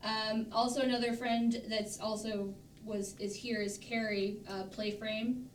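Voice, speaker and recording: A woman's voice: flat delivery, unhurried. A woman chatting casually as a panelist on a group stream. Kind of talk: casual chat. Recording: roomy, distant mic, faint rumbling noise.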